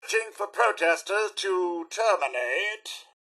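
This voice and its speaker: A man's voice: high-pitched, expressive, takes it slowly, bright, forceful tone, husky, full character voice. A male actor voicing a polite, fussy robot butler in a video game, with a British accent and a formal, theatrical delivery. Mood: stern, menacing. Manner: formal, overly courteous, theatrical.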